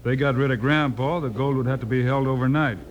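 An actor playing a steady, commanding western lawman who speaks firmly and plainly in a radio western. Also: medium pitch, a little fast, highs cut off, natural voice. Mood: wary, thoughtful. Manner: firm, no-nonsense questioning. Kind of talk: 1950s radio western acting. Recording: noisy old-time radio recording.

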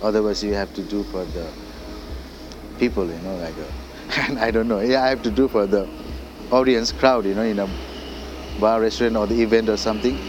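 A Nepali-speaking man with a Nepali accent, a singer-songwriter talking casually as a guest, halting and full of fillers like "you know" and "like". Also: lowish voice, fairly even intonation, background noise. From Darjeeling hills, India. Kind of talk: casual interview. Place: indoors.

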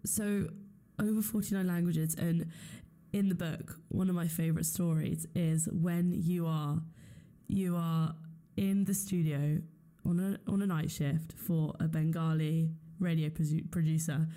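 Female podcast host, dark mellow tone, slightly low register, chatty and keenly interested. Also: fairly even intonation, measured pace. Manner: enthusiastic, appreciative. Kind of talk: conversational interview.

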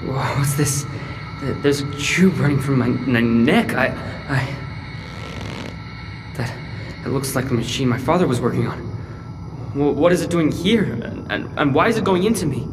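A man playing a bewildered, frightened teenage boy in a sci-fi audio drama, stammering and breathy as he talks to himself. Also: mid-range voice, lightly acted, poor audio. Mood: confused, alarmed. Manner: halting, stammering, breathy gasps. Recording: loud music behind.

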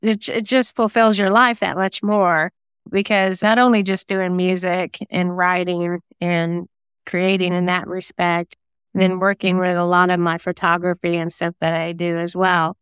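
A woman's voice: lowish voice, flat delivery, a little slow, a bit bright, clear, resonant tone, dry recording. A woman giving reflective, earnest answers as a guest in a conversational interview. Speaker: guest, singer-songwriter and novelist.

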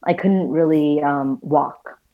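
Woman in her 30s from Malaysia, low voice, candid self-deprecating interview guest, dull lo-fi recording. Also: slow. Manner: thoughtful, self-deprecating, many fillers. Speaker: guest, visual storyteller. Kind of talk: casual conversational interview. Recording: dull lo-fi sound.

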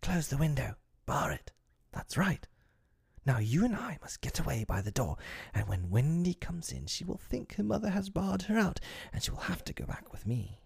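A man reading an audiobook, voicing a scheming, gleeful young boy who speaks in a hushed, urgent way. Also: a little high, sing-song, moderate pace, creaky, slightly rough, voice pushed up, full character voice. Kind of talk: audiobook character voice.

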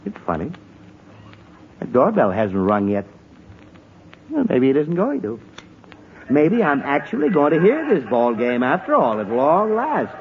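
Blustery, exasperated sitcom father, very animated delivery, old muffled radio sound. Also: a little high, measured pace, long pauses, exaggerated voice. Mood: hopeful. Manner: blustery, sighing, sarcastic muttering. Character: exasperated, hot-tempered middle-aged father. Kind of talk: 1940s radio comedy acting. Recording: muffled, noisy 1940s radio recording.

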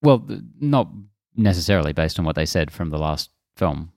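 A man's voice: deep voice, big pitch swings, moderate pace, vocal fry, darkish tone, no room sound, studio quality. A man talking casually as a podcast host in a film discussion, with a blunt, joking way of talking.

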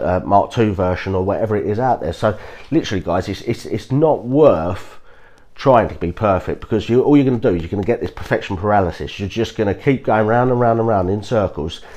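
Croaky low-voiced man giving pep talk. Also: darkish tone. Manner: earnest, repeats "guys, trust me", frequent restarts. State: croaky from a cold. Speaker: podcast host. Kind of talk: casual motivational talk.

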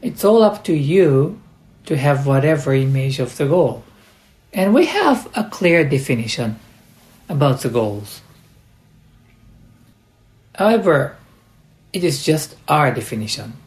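Slow, deliberate Japanese-accented man. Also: a little high, very animated, a little mellow. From Japan, partly raised in the United States. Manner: deliberate, halting short phrases. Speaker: coach, podcast host. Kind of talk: instructional podcast monologue.

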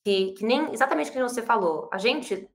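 A Brazilian woman in her thirties, speaking in a rapid, animated rush. She restarts often and piles up fillers. The room gives her voice a noticeable echo. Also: fairly high pitch. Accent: Brazilian Portuguese. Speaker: startup founder. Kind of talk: interview answer.

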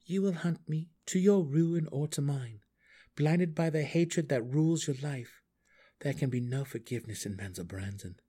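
A man voicing a resolute, brooding male dark elf exile in a fantasy audiobook, speaking earnestly and formally with defiance. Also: fairly high pitch, normal speed, slightly rough, pitched above the performer's own voice. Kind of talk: fantasy audiobook character acting.

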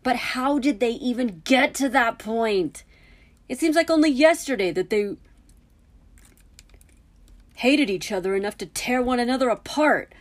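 Lady doing an exasperated teen boy wizard, loud n sarcastic, totally indignant about everything. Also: medium pitch, lively intonation, normal speed, voice pushed up, lightly acted. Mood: baffled, indignant. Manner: emphatic, sarcastic, incredulous outbursts.

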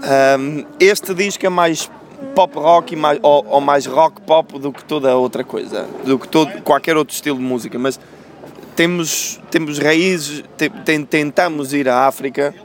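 A man's voice: a little high, measured pace, slight background noise. A man from Venezuela, a band member being interviewed outdoors, talking animatedly and restarting his words often. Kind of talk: casual interview chat.

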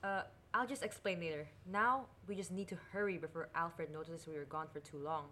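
A woman's voice: on the low side, brisk, heavy background noise, natural voice. A woman playing a curious, restless young princess and affectionate sister in an audio drama. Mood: sly, urgent.

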